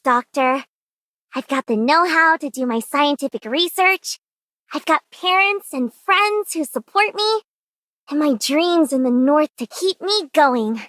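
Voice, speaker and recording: A woman's voice: sits high, fairly bright tone, no room sound, heavily acted. An actress playing a sincere, hopeful young female operator in a game monologue. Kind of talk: scripted game character monologue.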